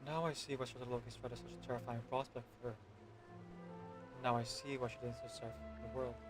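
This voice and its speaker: A woman's voice: a bass voice, little pitch movement, fairly quick. A woman game streamer giving casual live reactions to a story as she plays. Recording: loud game music behind.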